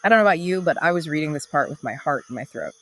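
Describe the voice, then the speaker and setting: A woman's voice: low voice, animated, rapid-fire, a bit bright. A woman co-hosting a podcast in a conversational literary discussion, with dramatic storytelling emphasis. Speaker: podcast co-host.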